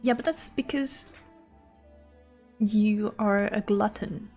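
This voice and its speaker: A woman's voice: mid-range voice, slow pace, a little mellow, faint music behind. A woman game streamer chatting casually on a live stream and reacting aloud to game dialogue.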